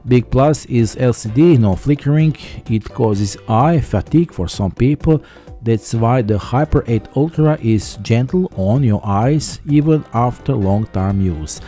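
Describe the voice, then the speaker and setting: Male tech reviewer, scripted voice-over, deeply mellow and dark tone, light music bed underneath. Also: measured pace. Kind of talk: scripted product review narration.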